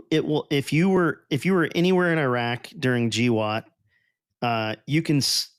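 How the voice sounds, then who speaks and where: A man's voice: mid-range voice, smooth, dry recording, studio quality. A man hosting a podcast, talking in a casual, profane and rambling way. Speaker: podcast host.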